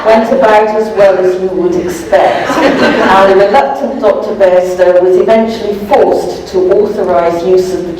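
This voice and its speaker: A woman author giving a live comic reading from a novel with a wry, amused manner. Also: medium pitch. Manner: wry, amused, often chuckling. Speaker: author reading aloud from their own novel. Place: indoor live event room. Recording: echoey room, distant mic, background noise.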